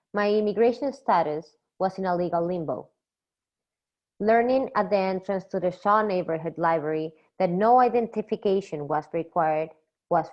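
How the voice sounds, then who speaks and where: A young woman from Peru, a doctoral student, reading a measured academic poster presentation from a script. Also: lowish voice. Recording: slightly dull lo-fi recording.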